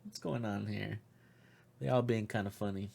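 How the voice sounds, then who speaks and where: A man co-hosting a show in casual chat, joking and quick to laugh. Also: lowish voice, steady tone, gravelly, a little mellow. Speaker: co-host of a pop culture show.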